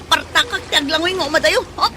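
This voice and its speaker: A woman's voice: high voice, fast, lightly acted. A woman with an Ilocano accent playing a frightened young woman stranded at sea after a ferry sinks, panting and calling out. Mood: panicked. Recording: loud crowd and noise behind.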